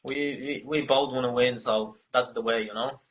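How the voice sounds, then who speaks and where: A man in his twenties with a Polish accent, a fighter answering interview questions in halting, broken English full of fillers and swearing. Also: medium pitch, fairly even intonation, fairly bright tone. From Poland. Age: 20s.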